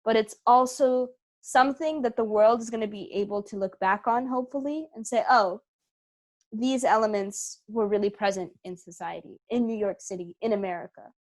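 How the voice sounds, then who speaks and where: A woman's voice: on the high side, normal speed. A woman giving casual interview answers as a guest, thoughtful and emphatic as she clarifies point by point. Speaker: interview guest, theatre company member and writer.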